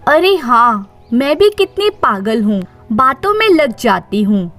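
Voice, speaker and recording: A woman's voice: on the high side, very animated, slow, a bit bright, faint music behind, exaggerated voice. A woman voicing a chatty, inquisitive, deferential housemaid in a story. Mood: sheepish.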